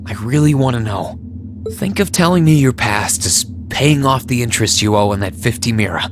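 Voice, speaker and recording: A man's voice: medium pitch, expressive, moderate pace, close to an everyday voice. Man voicing an earnest, brooding young student, music behind. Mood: earnest, pleading. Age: young adult. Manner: serious, insistent. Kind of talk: video game voice acting.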